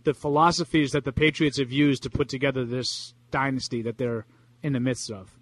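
A man's voice: mid-range voice, fairly even intonation, a little fast, no echo. A man with a Boston accent co-hosting a sports talk radio show, rambling through his points with restarts and asides. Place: radio studio.

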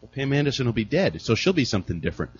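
A man ribs his co-hosts on a casual sports talk panel with opinionated banter. His husky voice moves fast and swings widely in pitch. The sound is dull and lo-fi. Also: big pitch swings, dry recording.

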